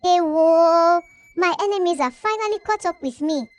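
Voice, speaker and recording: A woman's voice: very high-pitched, moderate pace, faint music behind, voice pushed up. A woman voicing a jealous, scheming young village woman in a folktale, dramatic and given to exclaiming. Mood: anguished wailing. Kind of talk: acted folktale dialogue.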